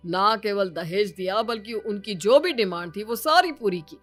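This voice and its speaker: A woman's voice: mid-range voice, lively intonation, moderate pace, faint music behind, close to an everyday voice. A woman voicing a polite, placating middle-aged father-in-law in a moral story. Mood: reasoning.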